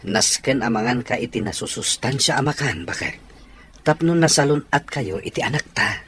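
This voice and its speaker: A male actor plays an attentive young husband and expectant father in a radio drama. He speaks with an Ilocano Filipino accent, and his pitch moves around a great deal. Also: lively intonation, moderate pace, a bit bright, slight background noise, close to an everyday voice. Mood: tender. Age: young adult.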